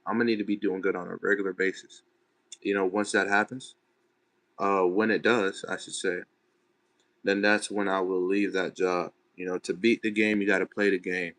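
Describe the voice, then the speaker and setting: A young man with a fairly low voice talks to his viewers in a relaxed, chatty way. He is from Texas and speaks in loose, slangy phrases as he answers comments live from his room at home. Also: moderate pace. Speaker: livestreamer. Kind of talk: casual livestream chat reading comments. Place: bedroom at home.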